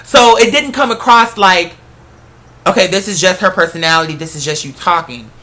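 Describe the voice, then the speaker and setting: A solo talk host with a bright, forward voice at a middling pitch. The delivery is a casual, rambling monologue, emphatic and conversational, with salty language. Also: normal speed. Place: apartment.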